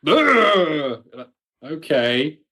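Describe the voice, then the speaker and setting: A man's voice: on the high side, sing-song, very slow, pushed and bright. A man talking casually as a guest writer in an interview, telling stories wryly and acting out people's reactions. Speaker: audio drama writer, podcast guest.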